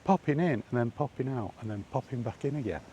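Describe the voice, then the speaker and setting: A man's voice: medium pitch, fast talker, mellow, muffled recording. A man chatting casually and rambling in a wry, grumbling way while walking outdoors. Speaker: vlogger. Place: outdoors on a walk, under trees. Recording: faint outdoor ambient noise.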